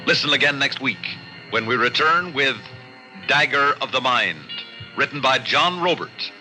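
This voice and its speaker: A man's voice: medium pitch, animated, unhurried, low-quality recording. A man reading scripted announcements in a formal, polished, emphatic old-time radio style. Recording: old network radio broadcast, faint music.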